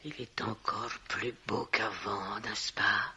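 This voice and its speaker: An actress playing a sly, nosy hotel woman turned amateur detective in a radio mystery, husky and gravelly, deliberate, insinuating and dryly detached. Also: a bass voice, steady tone, bright, forceful tone, a little hiss or hum, heavily acted. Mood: calm, insistent. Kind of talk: French radio mystery drama acting. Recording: dull vintage-style radio recording.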